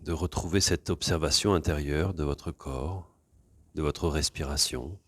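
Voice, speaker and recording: A man leading a yoga class, giving calm, unhurried guided instructions for body awareness and breathing. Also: very deep voice.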